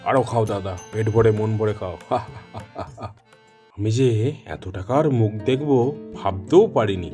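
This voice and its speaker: A man's voice: lowish voice, takes it slowly, warm tone. Man voicing a cackling greedy biryani seller, cartoon villain, gloating, faint music. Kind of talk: Bengali cartoon dubbing.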